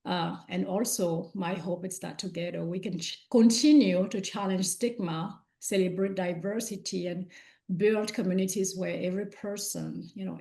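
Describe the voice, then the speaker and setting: A woman's voice: lowish voice, creaky, warm tone. A woman from Cameroon whose first language is French, giving an earnest talk as a guest speaker at a conference, with frequent "uh" fillers.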